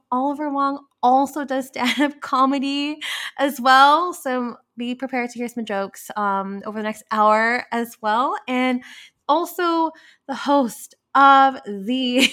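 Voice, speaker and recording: A woman's voice: high voice, takes it slowly, fairly bright tone. A woman hosting a casual podcast interview, chatty, with lots of fillers and frequent laughs. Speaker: podcast host.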